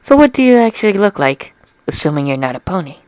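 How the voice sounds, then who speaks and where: A man puts on a bookish young mare from a storybook, polite and full of questions. The voice is lightly raised in pitch and smooth, with a dry, close sound. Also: sing-song, quick pace, a little mellow, no echo. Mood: inquisitive. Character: inquisitive, polite young female pony, bookish. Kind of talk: amateur dramatic story reading.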